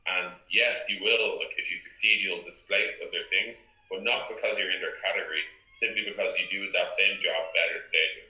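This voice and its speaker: A man's voice: sits low, fairly even intonation, fairly quick, hardly any pauses, sharp tone. A man giving interview answers about business in an informal, thinking-aloud way, repeating phrases for emphasis over a video call. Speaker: interview guest, software company co-founder. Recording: roomy, echoey internet call audio.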